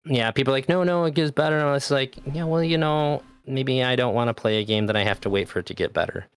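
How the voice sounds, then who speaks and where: A man's voice: mid-range voice, fast talker, smooth. A man in his thirties chatting casually, loose and talkative, swearing now and then. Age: 30s. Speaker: podcast host. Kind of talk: casual chat.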